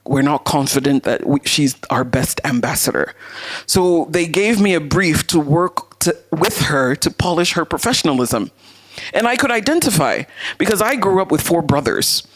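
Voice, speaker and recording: A woman with a deep voice giving a motivational masterclass talk to an audience, speaking in a deliberate, emphatic way. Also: rough recording. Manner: deliberate, emphatic, uses rhetorical questions and repetition. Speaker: masterclass speaker, leadership coach.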